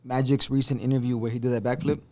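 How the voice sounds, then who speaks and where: A middle-aged man speaks earnestly and easily, peppering his talk with "bro." His voice sits in an average pitch range for a man, and he talks in a relaxed, conversational way. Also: quick pace, a little hiss or hum. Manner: earnest, laid-back, says bro a lot. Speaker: father and manager of a guest. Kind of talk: casual podcast chat.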